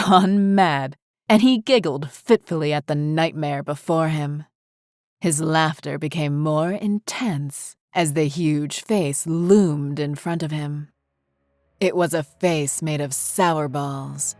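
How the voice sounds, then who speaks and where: A woman's voice: deep voice, slow, a little mellow, dry recording, studio quality. A woman reading a scripted horror story as its narrator, with music behind her. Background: faint music.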